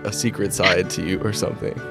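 A man with a low voice jokes and flirts as the bachelor in a comedy dating bit. Faint music sits behind him. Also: fairly even intonation, quick pace, vocal fry. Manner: joking, flirty.

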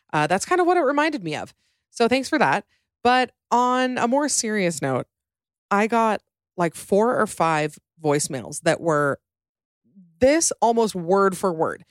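Woman, podcast host, chatty, wry, joking, slightly low voice, dry close mic. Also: no echo. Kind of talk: casual chat reacting to voicemails.

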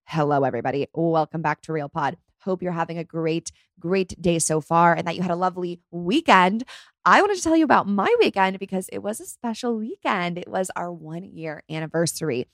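A woman's voice: on the low side, lively intonation, fairly quick, no room sound, clean studio sound. A young woman hosting her own podcast, chatting casually and bubbly with lots of "like". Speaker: podcast host. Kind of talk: casual solo podcast chat.

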